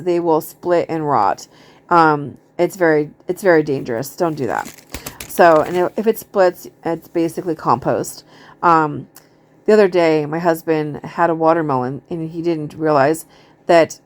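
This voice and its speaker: A woman speaks in a somewhat low-pitched voice. She has the informal, wandering delivery of a hobby gardening vlogger, conversational and unpolished as she chats to viewers. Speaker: gardening vlogger showing a seed haul. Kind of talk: casual chat, reading out seed packet notes.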